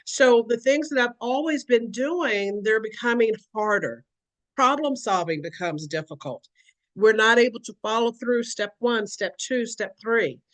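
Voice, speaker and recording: A woman's voice: a little high, unhurried. Woman, educator, earnest explanatory talk, acts out examples.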